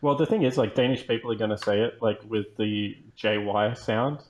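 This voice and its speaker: A man's voice: fairly low pitch, moderate pace, highs cut off. A man talking casually as a guest on an esports panel show. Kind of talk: casual chat.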